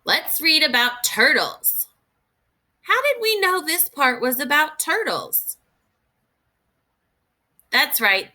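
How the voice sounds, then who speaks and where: A woman reading aloud in an expressive, instructive way, as a teacher does for young children, pausing to think through questions. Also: on the high side.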